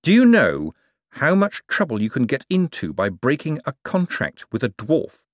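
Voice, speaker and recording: Casting: sardonic, argumentative storybook man voiced by a male narrator, easily outraged, swooping pitch. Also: wildly swooping pitch, moderate pace, no room sound, clean studio sound. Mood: alarmed, scolding. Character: wry, exasperated, fast-thinking man prone to outrage.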